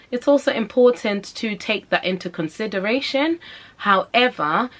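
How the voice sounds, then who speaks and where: A woman's voice: fairly low pitch, a bit bright. Earnest Zimbabwean woman giving advice. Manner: earnest, encouraging, repeats phrases for emphasis. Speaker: vlogger and mother. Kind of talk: casual advice vlog talking to camera.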